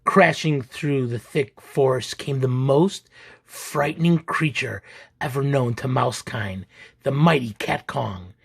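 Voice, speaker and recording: A man reading a children's picture book aloud as an expressive, deliberate, theatrical storyteller. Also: mid-range voice, vocal fry, breathy edge. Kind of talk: expressive dramatic read-aloud narration.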